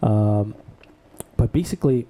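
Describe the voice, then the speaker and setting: A man from Bangladesh, co-host of a podcast, telling his life story in a relaxed, rambling way. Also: on the low side, a little slow. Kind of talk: casual podcast conversation.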